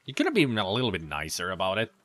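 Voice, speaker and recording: A man's voice: sits low, huge pitch swings, fast, a bit bright, no room sound, faint music behind. A man giving casual, rambling commentary over gameplay, with sarcastic asides. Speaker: let's play commentator.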